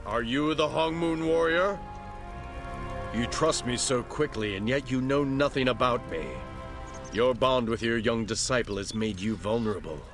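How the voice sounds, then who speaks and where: An actor playing a guarded, world-weary martial arts master in a video game cutscene, conversational and earnest. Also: male voice, mid-range voice, normal speed, music in the background, lightly acted. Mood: wary, stern. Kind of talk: dramatic game cutscene acting.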